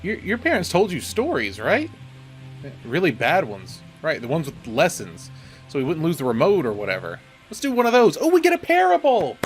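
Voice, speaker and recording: Male horror game host, animated and wisecracking, slightly high voice, playful commentary and story reading. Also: normal speed, faint music behind.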